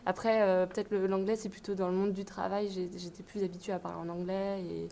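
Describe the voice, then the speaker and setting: A woman's voice: mid-range voice, little pitch movement, fairly quick, creaky, mellow. A woman student from France reflecting on her languages in an interview, thoughtful and full of fillers. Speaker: student guest.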